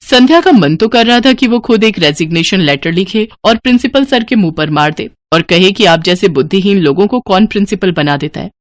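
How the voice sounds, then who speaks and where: A woman's voice: medium pitch, no echo. A woman narrator reading a scripted moral story.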